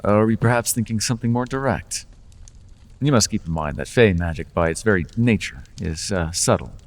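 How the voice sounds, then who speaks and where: A man's voice: lowish voice, expressive, a little fast, in a large hall, lightly acted. A man voicing a charming, persuasive male Fae who makes deals, with playful, coaxing salesman patter in an ASMR roleplay.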